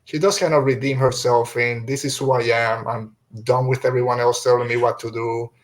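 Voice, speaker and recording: A man's voice: medium pitch, level tone, moderate pace, rough recording. A man hosting a podcast in a casual, conversational way, talking things through and putting questions to guests. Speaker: podcast host. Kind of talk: casual podcast book discussion.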